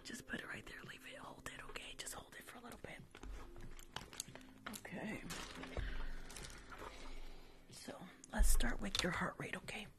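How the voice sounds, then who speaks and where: A young adult woman doing a soothing ASMR nurse roleplay in a breathy whisper, close to the mic, with short reassuring phrases. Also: mid-range voice, fairly quick. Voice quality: breathy whisper with some creak. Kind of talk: whispered ASMR medical roleplay, live stream. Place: small room at home, indoors. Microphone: close microphone with fluffy windscreen.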